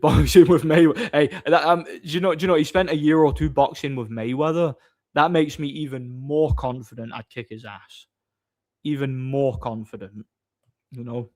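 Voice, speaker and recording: A man's voice: mid-range voice, moderate pace, darkish tone. A man, chatting casually on a livestream, reading out viewer messages and answering with mocking boasts. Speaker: live streamer reading chat.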